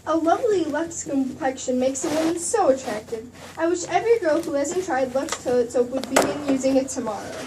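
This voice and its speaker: An actress playing a gushing, chic Hollywood reporter doing 1940s radio advertising banter. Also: female voice, high-pitched, animated, brisk, hardly any pauses. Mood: persuasive. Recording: distant mic, faint room noise.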